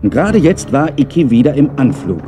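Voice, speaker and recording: A man's voice: mid-range voice, sing-song, fairly quick, over loud music, natural voice. A middle-aged man narrating a children's story in a playful way, with wry, chatty asides.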